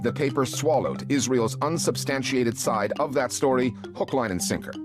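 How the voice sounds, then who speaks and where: A man narrating a news documentary in a measured, pointed, drily ironic scripted read. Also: mid-range voice. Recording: voice-over over clear music.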